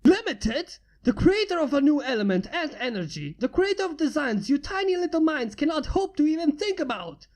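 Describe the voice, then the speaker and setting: A woman's voice: sits high, sing-song, brisk, few pauses, darkish tone, pitched above the performer's own voice. A woman voicing a smug, arrogant human inventor who talks with sarcasm and boasts of his own genius. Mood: boastful.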